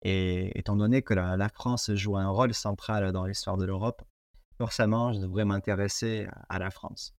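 A man's voice: fairly low pitch, steady tone, normal speed, a little mellow, dry recording, clean studio sound. A young man from Portugal, whose first language is Portuguese, speaking French with a Portuguese accent as an interview guest, hesitant with many fillers and restarts. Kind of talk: casual interview conversation.

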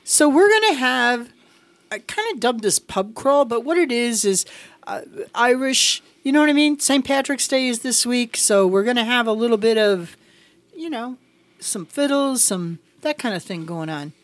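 A woman's voice: fairly high pitch, animated, moderate pace, no room sound. A woman hosting a casual show, teasing her co-host and laughing often. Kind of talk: casual radio-show banter.

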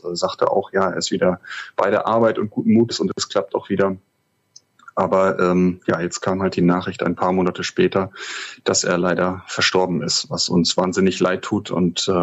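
A man co-hosting a podcast in casual talk, speaking in a measured, halting way over a remote connection. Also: low voice, muffled recording. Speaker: podcast co-host. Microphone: remote connection, not in studio.

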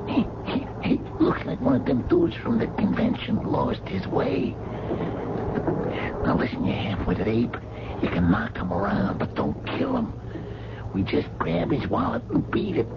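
An American actor playing a tense, bossy young mugger in a radio mystery, hissing slangy, menacing orders. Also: male voice, mid-range voice, wildly swooping pitch, measured pace. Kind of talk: radio mystery drama acting. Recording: muffled noisy old radio drama recording.

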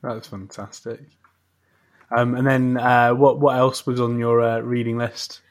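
A man's voice: mid-range voice, level tone, unhurried. A man hosting a podcast, chatting casually with a guest in an encouraging, effusive way. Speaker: podcast host interviewing a guest. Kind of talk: casual interview chat.

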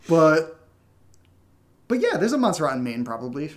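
Wisecracking American man, highish voice. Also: sing-song, a little slow. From Connecticut, United States. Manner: irreverent, wisecracking. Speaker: podcast co-host and audio drama writer. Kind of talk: casual unscripted Q&A chat.

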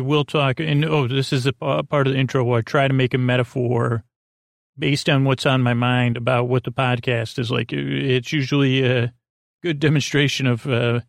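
Creaky-voiced man rambling on a sleep podcast. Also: medium pitch, dry recording, studio quality. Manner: meandering, goofy, halting with tangents. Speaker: podcast host. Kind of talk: rambling bedtime monologue introducing a sleep podcast.

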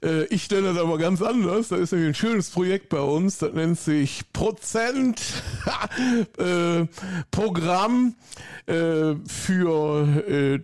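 A man's voice: high-pitched, takes it slowly, a little mellow, dry recording, clean studio sound. A middle-aged man with a Rhineland German accent, talking effusively and rambling with many fillers as an interview guest. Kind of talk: casual interview.

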